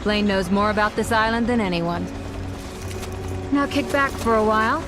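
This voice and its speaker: A woman playing a brisk, no-nonsense American intelligence agent in a video game cutscene. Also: mid-range voice, music in the background, lightly acted. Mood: composed.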